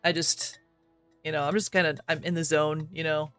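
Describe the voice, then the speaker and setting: A man streamer, slightly raspy from coughing, chatting casually and self-deprecatingly with lots of fillers and quick laughs while he plays. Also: on the high side, flat delivery, fairly bright tone, no echo, faint music behind. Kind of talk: casual live-stream chat while gaming.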